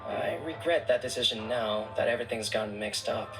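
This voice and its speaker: A man's voice: medium pitch, brisk, over music, lightly acted, poor audio. A man playing an earnest, dutiful event official in a video game, speaking formally and deferentially. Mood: earnest, regretful.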